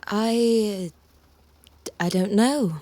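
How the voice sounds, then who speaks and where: An actress playing a cautious, practical woman park staffer in an audio drama, measured and a little hesitant. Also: mid-range voice, dark tone, dry recording. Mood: cautious, reluctant.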